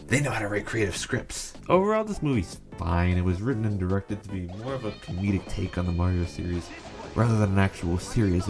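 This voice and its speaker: A man narrating a scripted video essay, giving opinionated commentary with a sarcastic edge. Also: low voice.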